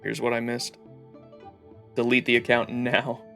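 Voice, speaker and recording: A man's voice: mid-range voice, monotone, measured pace, gravelly, bright, forceful tone. Playful male game streamer over faint music. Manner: informal, playful. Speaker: game livestreamer. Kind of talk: casual gaming commentary, reading game dialogue aloud.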